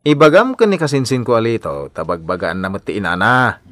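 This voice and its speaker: An actor playing a meddling, indignant brother-in-law in a radio comedy drama, with an emphatic, opinionated delivery. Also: male voice, fairly low pitch, expressive, brisk, a bit bright, dry recording, studio quality.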